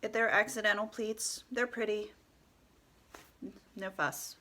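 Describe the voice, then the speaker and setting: A woman with a stuffy nose from a cold, calmly talking through a step-by-step tutorial and often dropping to a soft whisper. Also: medium pitch. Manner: calm, unhurried, often drops to a soft whisper. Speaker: head wrap tutorial host.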